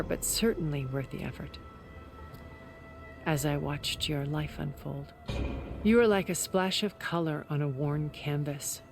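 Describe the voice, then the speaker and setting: Composed, creaky-voiced cultured woman. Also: low-pitched. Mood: admiring, assured. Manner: measured, articulate, deliberate storytelling. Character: composed, secretive, cultured older woman, former intelligence broker. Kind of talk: video game cutscene dramatic acting.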